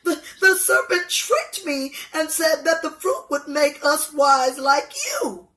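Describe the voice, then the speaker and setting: Woman acting a plaintive, high-pitched, guilty Eve. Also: expressive, sharp tone, exaggerated voice. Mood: pleading, tearful. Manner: plaintive, halting. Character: Eve, pleading, guilty, blame-shifting woman. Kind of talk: children's storybook character voice. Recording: echoey room.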